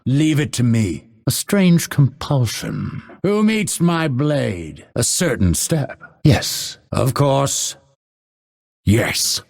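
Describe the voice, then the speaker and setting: Video game assassin, male: breathy low voice, unhurried and deliberate, sardonic and coldly threatening. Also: heavily acted. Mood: focused, terse. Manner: measured, deliberate, dryly sardonic delivery. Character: male unveiled assassin, solemn, dry-witted, coldly menacing. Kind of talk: scripted game character voice lines.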